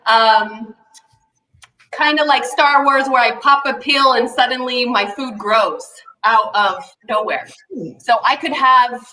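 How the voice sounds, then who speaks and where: A woman's voice: high voice, pushed and bright. A woman giving casual, playful improvised answers as a librarian on a game panel, over an echoey video call.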